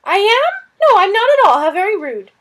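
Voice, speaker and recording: A woman's voice: very high-pitched, big pitch swings, moderate pace, voice pushed up. A woman voicing a fussy, flustered little male lizard who dithers and frets in an audiobook. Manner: twittering, easily fretting, dithering. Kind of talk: audiobook character voice.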